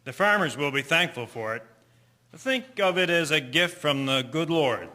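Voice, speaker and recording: A man acts a calm, steady family man with a bright, fairly high voice. He is a kindly, reassuring husband in a period radio play. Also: normal speed. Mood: soothing. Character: calm, kindly 1920s working father and husband. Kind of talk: scripted audio drama acting.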